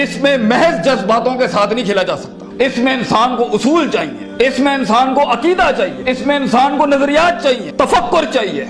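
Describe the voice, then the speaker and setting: A Pakistani man with a very high-pitched voice delivers an impassioned religious motivational lecture. He is emphatic, builds points through repetition and drops in English phrases. Music plays clearly behind his voice. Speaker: Islamic motivational speaker. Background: background music.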